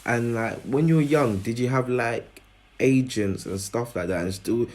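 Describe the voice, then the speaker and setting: A man interviewing a footballer, asking his questions haltingly with plenty of fillers. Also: mid-range voice, darkish tone. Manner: hesitant, many fillers while asking.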